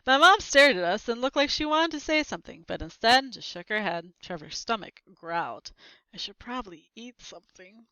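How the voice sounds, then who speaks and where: A woman reading a children's chapter book aloud, expressive, with asides to her viewers. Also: a little high, normal speed, hardly any pauses, smooth, no room sound, lo-fi sound. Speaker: read-aloud host.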